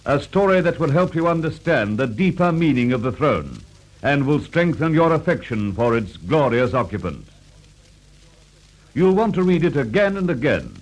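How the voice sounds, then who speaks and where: A man's voice: fairly high pitch, rough recording. A man reading a 1950s radio advertisement in a formal, declamatory way. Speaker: newspaper advert announcer. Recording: vintage radio broadcast recording.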